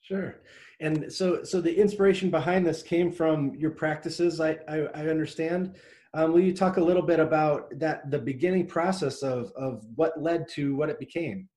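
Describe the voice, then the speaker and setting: A man's voice: a little high, moderate pace, dark tone. A man hosting a podcast, reading a scripted introduction and then asking the guest questions in an upbeat, encouraging way. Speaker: podcast host. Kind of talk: interview hosting, read introduction.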